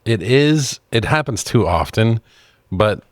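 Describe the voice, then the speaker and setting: A man's voice: lowish voice, expressive, slow, dry recording, studio quality. A man co-hosting a review show, chatting casually and chiming in with short remarks. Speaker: podcast co-host reviewing films. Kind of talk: casual chat, brief reactions.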